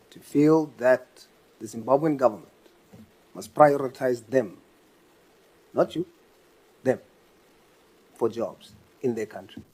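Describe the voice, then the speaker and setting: A man questions a witness at a committee hearing, pressing and insistent, circling back to the same question. His voice is mid-pitched and plain. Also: a little mellow, rough recording. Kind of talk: parliamentary questioning.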